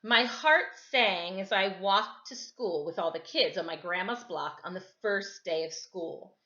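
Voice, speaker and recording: A woman's voice: medium pitch, measured pace, pushed and bright. A woman reading a storybook aloud for children at home, with casual asides to her listeners. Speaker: storytime reader. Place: indoors at home, kitchen and another room. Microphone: camera microphone. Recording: echoey room, slightly dull camera audio.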